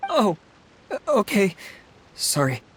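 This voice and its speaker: A nervous, halting civil servant. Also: male voice, high-pitched, huge pitch swings, fairly bright tone, slight background noise, exaggerated voice. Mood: flustered. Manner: halting, hesitant, full of ums. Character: nervous, meticulous, awkward male civil servant. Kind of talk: video game voice acting. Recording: music behind the voice.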